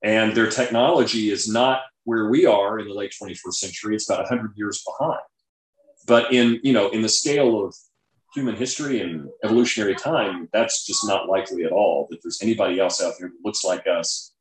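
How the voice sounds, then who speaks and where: Low-voiced man explaining, in a roomy space. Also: fairly quick. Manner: explanatory, checks in with "right?". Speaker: guest author and scientist. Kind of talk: conversational interview explanation. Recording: roomy, echoing sound.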